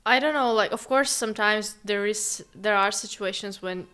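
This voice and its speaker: Young woman, from Uzbekistan, native Russian speaker, smooth clear voice, mid pitch, lively casual podcast guest. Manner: lively, laughs often, quick asides. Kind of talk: casual chat.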